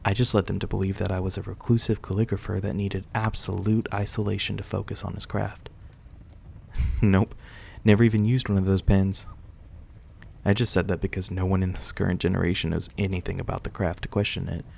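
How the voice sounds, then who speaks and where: A man playing a courteous, reclusive young-looking vampire in an intimate ASMR roleplay, speaking softly and reassuringly in a politely old-fashioned way. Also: low voice, little pitch movement, a little fast, gravelly, slight background noise. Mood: candid, playful.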